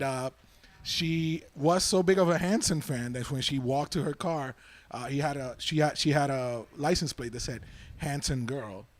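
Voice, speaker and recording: A man, a stand-up comedian telling a story in halting bits full of fillers during a casual panel chat. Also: mid-range voice. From Puerto Rico. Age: 30s.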